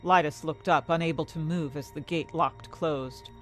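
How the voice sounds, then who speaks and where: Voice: medium pitch, fairly even intonation, normal speed. A narrator reading a scripted fantasy story in the third person. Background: music.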